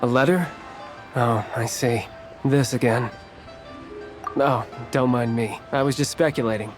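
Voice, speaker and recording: A man plays a polite guild receptionist in a video game. His voice is medium in pitch and courteous, with faint music behind it. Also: a little slow, close to an everyday voice. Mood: musing, polite.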